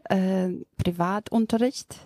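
A woman's voice: mid-range voice, steady tone, takes it slowly, mellow, no echo, studio quality. A woman in her thirties, a native Macedonian speaker with a Macedonian accent, answering interview questions with many hesitations and restarts. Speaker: yoga teacher, interview guest.

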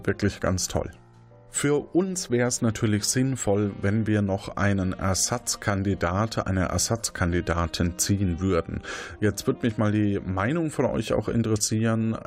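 A man hosting a podcast talks through the wrap-up in a relaxed, casual way, pausing on fillers. His voice sits on the lower side. Faint music plays under him. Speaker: podcast host.

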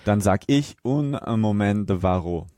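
A man's voice: on the low side, expressive, measured pace, dark tone, no echo, studio quality. A man co-hosting a podcast, chatting casually about a film in a colloquial, agreeable way. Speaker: podcast co-host.

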